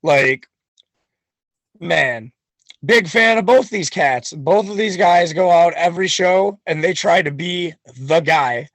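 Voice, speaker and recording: A man's voice: fairly high pitch, takes it slowly, a bit bright, no echo, poor audio. A man talking as a wrestling guest on a podcast, boastful and hyped, full of swagger. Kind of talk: podcast chat.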